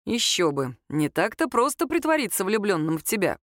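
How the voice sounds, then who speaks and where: Cool, deadpan, teasing young man voiced by woman. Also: mid-range voice, normal speed, no room sound, clean studio sound, close to an everyday voice. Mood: lazy, smirking. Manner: calm, lazy, deadpan. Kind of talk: audiobook character dialogue.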